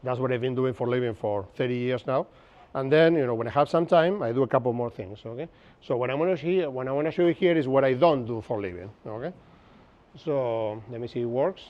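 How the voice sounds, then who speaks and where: A man's voice: medium pitch, brisk. Man, 50s, Buenos Aires accent, Spanish native speaker, chatty instructor, open-air pavilion, slightly muffled sound. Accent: Argentine Spanish, Buenos Aires. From Argentina. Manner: chatty, jokey, keeps checking in with the audience. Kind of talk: informal workshop lecture. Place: open-sided pavilion outdoors.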